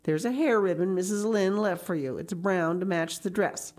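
A woman voicing a stern, practical older guardian woman in a storybook, brisk and no-nonsense. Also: fairly low pitch, a little mellow, lightly acted. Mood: brisk, disapproving.

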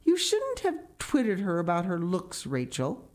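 A woman's voice: lowish voice, huge pitch swings, a little slow, darkish tone, pitched above the performer's own voice. A woman voicing a stern, resolute middle-aged farm woman who speaks in a dry, firm, terse way for a storybook reading. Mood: stern, dismayed.

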